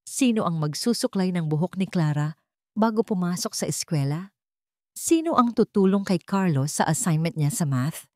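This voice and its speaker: A woman's voice: on the low side, darkish tone, no room sound, clean studio sound. A woman reading scripted fiction as a dramatic story narrator.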